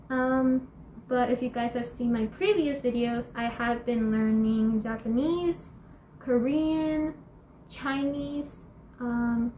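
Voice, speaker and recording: High-voiced bubbly teen girl, echoey room. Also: slight background noise. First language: Spanish and English. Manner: bubbly and chatty, laughs often, many fillers and restarts. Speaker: YouTuber. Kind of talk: casual Q&A vlog. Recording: echoey room, faint ambience.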